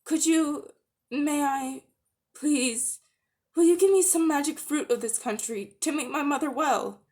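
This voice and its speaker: A woman's voice: high voice, measured pace, a little mellow, pitched above the performer's own voice. A woman voicing an earnest, guilty young boy who speaks hesitantly, politely and pleadingly. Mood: timid pleading.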